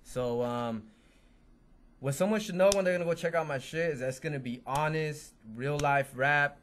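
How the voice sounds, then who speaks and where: A man of middle pitch whose first language is Spanish talks about his music as a guest rapper. He speaks earnestly and sincerely, and often restarts his sentences. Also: normal speed, fairly bright tone, a little hiss or hum. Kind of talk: casual interview chat.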